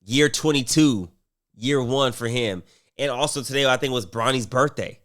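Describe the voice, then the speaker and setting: A man's voice: mid-range voice, moderate pace, fairly bright tone. A man commentating casually on basketball, rambling with fillers and restarts while getting over a cold.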